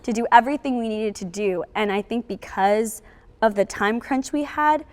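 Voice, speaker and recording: A woman's voice: fairly high pitch, normal speed. A young woman giving reflective interview answers in an earnest, emphatic way as a member of a drama cast. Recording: echoey, long room reverb.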